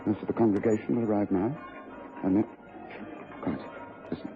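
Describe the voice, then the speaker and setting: A man with an English accent playing a shrewd, commanding, dryly calm gentleman detective in vintage radio drama. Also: on the low side, normal speed, music in the background, lightly acted. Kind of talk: 1950s-60s BBC-style radio drama acting. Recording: phone-like old radio recording.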